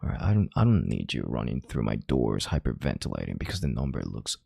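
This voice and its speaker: An extremely low, husky male voice acted by a man plays a domineering CEO in an intimate audio roleplay. He murmurs with heavy vocal fry and calm authority, condescending yet tender. The sound is close and dry. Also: fast talker, no room sound, exaggerated voice. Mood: commanding, reassuring. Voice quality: very deep, gravelly, breathy murmur.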